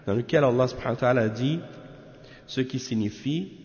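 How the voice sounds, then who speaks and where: A man from Canada giving a measured religious lesson on a text, often tagging his sentences with questions. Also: mid-range voice, a little mellow. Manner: measured, peppers talk with tag questions. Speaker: religious teacher. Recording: echoey hall, dull lo-fi sound.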